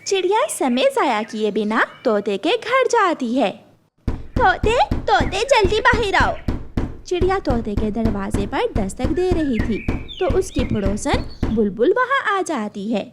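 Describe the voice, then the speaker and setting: A woman's voice: high voice, very animated, measured pace, over music. A woman narrating a scripted children's cartoon story.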